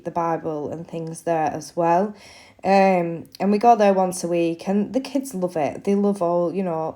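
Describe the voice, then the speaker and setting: Woman, 30s, blocked-up nose from a cold; easygoing rambling narration, darker mellow tone. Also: fairly low pitch. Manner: chatty, many ums. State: congested with a cold. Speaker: craft vlogger. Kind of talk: casual voiceover narration. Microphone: voiceover mic.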